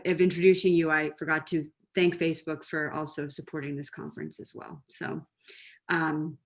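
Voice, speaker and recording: A woman hosting a conference interview, asking questions in an eager, slightly halting way. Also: deep voice.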